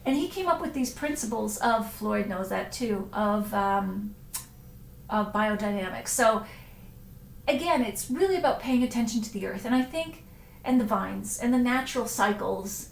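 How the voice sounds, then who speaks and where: A woman hosts a live stream, answering viewers' comments in easy, rambling chat full of ums and quick self-corrections. Her voice sits a bit high. The room around her is echoey, with a faint hiss underneath. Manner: chatty, warm asides, frequent ums and self-corrections. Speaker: wine writer hosting a live stream.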